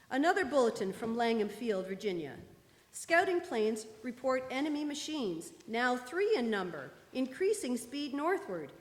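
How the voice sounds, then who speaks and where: A woman plays a news announcer in a radio drama, reading bulletins formally and with measure. Her voice is somewhat high. It carries through a big hall with long reverberation. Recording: echoey church hall, live performance.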